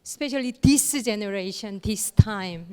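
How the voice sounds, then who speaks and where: A woman giving a lecture in short, paused phrases with fillers, leaving room for an interpreter. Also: medium pitch, a little mellow, clean tone. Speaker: guest lecturer. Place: lecture hall. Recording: long hall reverb.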